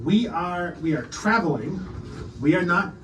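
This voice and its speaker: A man plays an indignant little alien official in a high voice, pompous and legalistic, pleading his case in halting protests. The tabletop game recording is roomy and distant, with clear noise. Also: expressive. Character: indignant, pleading Ferengi alien aide to a ruler.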